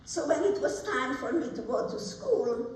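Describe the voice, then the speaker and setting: A woman in her nineties from Hungary, giving personal testimony to an audience in a plain-spoken, halting way. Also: high voice, big pitch swings, a little mellow. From Budapest, Hungary. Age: 90s. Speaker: guest speaker, Holocaust survivor. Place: large hall at a ceremony. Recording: echoey hall, distant mic, faint noise.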